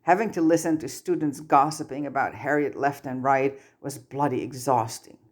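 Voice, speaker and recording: A woman's voice: very deep voice, moderate pace. A woman reading a story aloud as scripted audiobook-style narration. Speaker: amateur fan fiction narrator.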